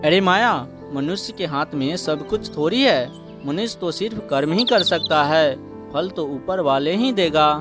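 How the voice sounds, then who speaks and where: A man's voice: fairly high pitch, expressive, measured pace. A man acts a humble, hardworking village farmer in a moral tale cartoon. He is plain-spoken and earnest, a faithful husband who trusts in fate. Music runs behind the voice. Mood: resigned. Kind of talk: moral story cartoon dialogue.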